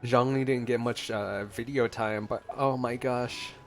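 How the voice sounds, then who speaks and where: A man's voice: mid-range voice, steady tone, unhurried, faint music behind. Man giving casual gameplay reactions. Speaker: gaming video host. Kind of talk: casual playthrough reaction commentary.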